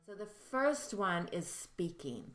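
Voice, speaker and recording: Soft-voiced woman teaching, echoey room. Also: medium pitch, very animated, slow pace, over music. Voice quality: soft-spoken. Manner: friendly, chatty, with fillers. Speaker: mediumship teacher. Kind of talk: casual instructional talk to camera.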